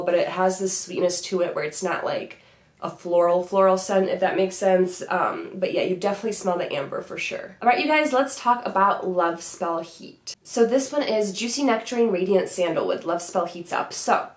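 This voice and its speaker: A woman's voice: on the low side, expressive, fairly quick, reverberant. Chatty young woman with a stuffy cold. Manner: chatty, upbeat, many "like" fillers. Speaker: beauty vlogger. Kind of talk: casual product review. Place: bedroom vanity room, indoors.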